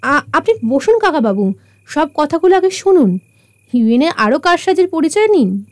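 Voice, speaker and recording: A woman's voice: sits high, sing-song, moderate pace, dry recording, clean studio sound. A woman playing a confident, polite young detective in a radio drama, with emphatic, reasoning delivery. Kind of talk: Bengali radio drama acting.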